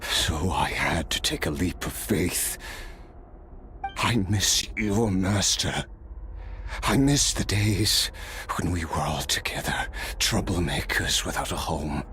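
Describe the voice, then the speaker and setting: Weary immortal warrior, extremely deep voice, slow, reflective, hushed. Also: male voice, a little hiss or hum, exaggerated voice. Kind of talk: video game voice acting.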